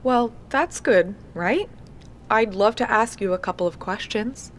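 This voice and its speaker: An actress playing a diligent, polite young researcher, speaking matter-of-factly into a field recorder in an audio drama. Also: female voice, medium pitch, measured pace, natural voice. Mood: polite, persistent. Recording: noisy handheld field tape with clicks.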